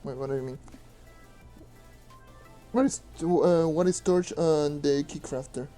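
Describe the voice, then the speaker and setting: A young man streaming a game and answering questions in loose, rambling casual talk, with a hoarse voice he says he is losing. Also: a little high, measured pace, mellow. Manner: rambling, loose with fillers, mutters to himself. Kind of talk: casual gameplay commentary and Q&A. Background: faint music.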